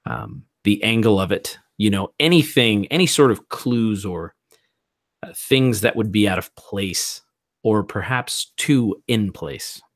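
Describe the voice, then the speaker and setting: A man playing a tabletop roleplay game, thinking aloud in a deliberate, musing way. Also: on the low side, animated, no room sound, studio quality.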